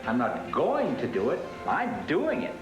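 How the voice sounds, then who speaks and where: A man in an acted TV sale commercial, talking as a folksy, punchy pitchman. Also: wildly swooping pitch, measured pace. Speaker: tire store advertiser. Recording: roomy 1980s TV commercial, music behind.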